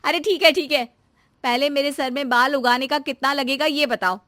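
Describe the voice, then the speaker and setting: A woman voicing a fretful, sharp-tongued middle-aged housewife in an animated story, complaining dramatically. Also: high-pitched, normal speed, no room sound, studio quality, voice pushed up. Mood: indignant.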